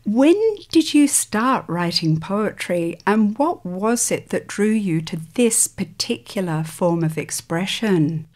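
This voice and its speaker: A woman hosting a program, reading a formal guest introduction and asking questions in a clear, composed way. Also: medium pitch, expressive, slow, hardly any pauses, a little mellow. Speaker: radio program host.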